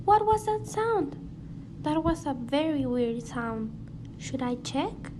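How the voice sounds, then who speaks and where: A woman playing a frightened farmer lady in an amateur radio drama, speaking slowly and deliberately. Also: high-pitched, very animated, full character voice. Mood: nervous. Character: worried farmer lady frightened for her killed goats. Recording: noisy amateur home recording.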